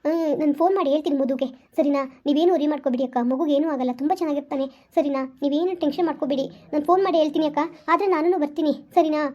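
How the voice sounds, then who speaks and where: A woman voicing a kind young woman who gently comforts a crying relative over the phone. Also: high voice, racing, few pauses, highs cut off, close to an everyday voice. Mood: soothing. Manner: soothing, reassuring.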